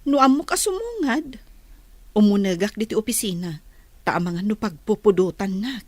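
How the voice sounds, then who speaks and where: A woman's voice: medium pitch, lively intonation, moderate pace, darkish tone, dry recording, natural voice. A woman playing an observant, gossipy office employee with a Filipino accent in a radio drama. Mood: curious.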